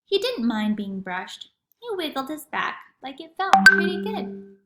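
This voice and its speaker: High, animated woman reading in echoey room. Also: unhurried, very noisy. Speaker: read-aloud narrator. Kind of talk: expressive children's storybook narration.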